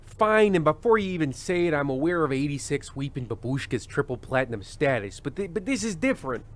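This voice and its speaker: A man playing a fretful, animated variety host. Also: fairly high pitch, fairly quick. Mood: alarmed. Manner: earnest, hesitant, fretful. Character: anxious, prim, fading male variety show host. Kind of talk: old-time radio comedy acting.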